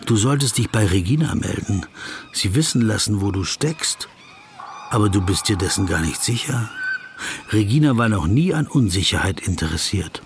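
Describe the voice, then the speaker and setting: An actor playing a wordy, brooding legal counsel who muses pedantically to himself in a literary radio play. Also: male voice, lowish voice, lively intonation, normal speed, slight background noise. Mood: self-reproachful. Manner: musing, pedantic about words, addressing himself.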